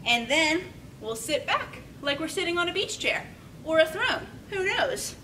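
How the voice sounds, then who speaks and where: A woman's voice: very animated, measured pace, sharp tone. A young woman teaching yoga to small children, giving simple, encouraging step-by-step directions as she demonstrates, recorded on a camera across a room. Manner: demonstrating poses while talking. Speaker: children's yoga storytime instructor. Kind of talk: instructional talk for young children. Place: small indoor room with padded steps. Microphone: camera several metres away. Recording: distant camera mic, rumbly background noise.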